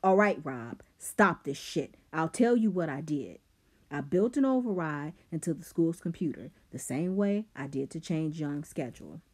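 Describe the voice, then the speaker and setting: A woman's voice: deep voice, lively intonation, normal speed, a little mellow. A woman voicing a clever, scheming teenage boy who talks back coldly. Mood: defiant.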